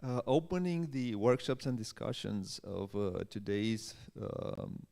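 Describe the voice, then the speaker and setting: A man with a fairly deep voice hosts a live interview. His delivery is hesitant and halting. The sound carries the long reverb of a big hall. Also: lively intonation, creaky, a little mellow. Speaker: workshop host and interviewer. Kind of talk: live event introduction and interview.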